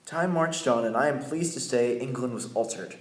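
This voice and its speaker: A man's voice: medium pitch, moderate pace, roomy sound, a little hiss or hum, lightly acted. A man acting as an easygoing American adventurer narrating his story in a radio play. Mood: proud, wary.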